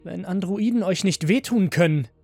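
A man's voice: high-pitched, normal speed, gravelly, darkish tone, slightly rough, soft background music, pitched above the performer's own voice, heavily acted. A man voicing a rebellious male android in an audio story, defiant and bitter, insisting he is human. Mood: contemptuous, hissing.

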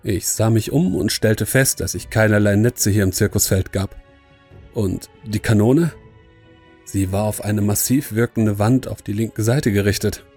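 A man's voice: fairly low pitch, unhurried, a little mellow, soft background music. A man reading a scripted first-person horror story as its narrator.

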